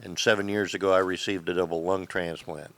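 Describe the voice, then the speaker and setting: A man from Indiana, a patient advocate, giving a halting self-introduction. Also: low-pitched, steady tone, a bit bright.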